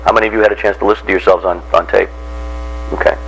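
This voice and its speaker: A man's voice: low-pitched, racing, creaky, a little hiss or hum, rough recording. An American man giving an informal voice workshop, teaching in a jocular way and putting questions to his listeners. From Randolph, near Boston, United States. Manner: jocular, animated teacher who acts out examples and puts questions to listeners. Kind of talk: informal instructional talk to a group.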